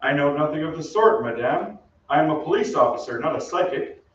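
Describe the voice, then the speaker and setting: A stern radio-play police inspector, played by a man, formal and authoritative. The voice sits a little high and sounds distant in a very echoey room. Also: lively intonation, a little fast, lightly acted. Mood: stern, official. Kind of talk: old-time radio drama acting. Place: theatre stage. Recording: echoey stage from far off, dull, noisy.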